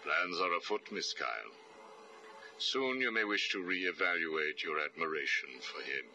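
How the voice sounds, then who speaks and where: Game villain: arrogant older psychiatrist, extremely low, forceful voice, clinical and condescending. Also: male voice, animated, unhurried, heavily acted. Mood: cold, smug. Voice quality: very deep. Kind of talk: video game voice acting.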